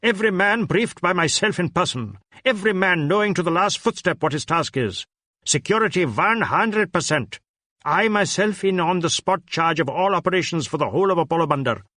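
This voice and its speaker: A man plays a pompous police superintendent in a crime drama. He barks clipped orders, pitched high and close to the mic with no room around him. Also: lively intonation, fairly quick, pushed and bright, dry recording, heavily acted. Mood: brisk, boastful. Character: barking, self-important male Indian police superintendent.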